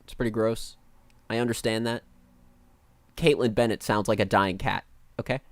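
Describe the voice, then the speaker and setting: A man's voice: on the low side, fairly even intonation, moderate pace, no room sound. A man with a nasal voice giving blunt, sarcastic and profane live reaction commentary as a streamer.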